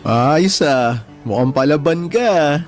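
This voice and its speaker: An actor playing a humble, shy young janitor on a date in a Filipino audio drama, talking gently and conversationally with a Filipino accent. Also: male voice, on the high side, lively intonation, slow, soft background music. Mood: amused, teasing. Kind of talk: Tagalog audio drama dialogue.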